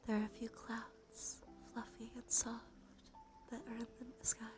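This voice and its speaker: Whispering woman, slow ASMR guide over music. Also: a little high, little pitch movement. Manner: slow, soothing, gently coaxing. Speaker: ASMR streamer. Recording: music behind the voice.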